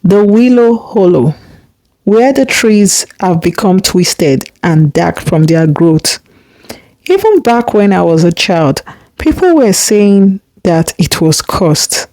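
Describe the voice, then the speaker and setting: A woman voicing a protective, concerned village foster mother in a storybook tale, grave and measured. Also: medium pitch, big pitch swings, dark tone, no room sound, low-quality recording. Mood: uneasy. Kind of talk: storybook character reading.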